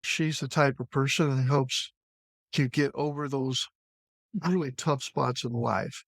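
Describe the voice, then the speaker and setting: A man's voice: mid-range voice, steady tone, unhurried, gravelly, dry recording. A man hosting a casual interview, putting loose, informal questions and remarks to his guest. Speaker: interviewer, host.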